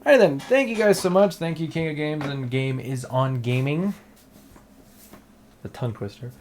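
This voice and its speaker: A man's voice: a little high, moderate pace, a little mellow, a little hiss or hum. A man streaming an emulator test, chatting casually and rambling with many restarts, saying he is losing his voice. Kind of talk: casual gameplay stream chat.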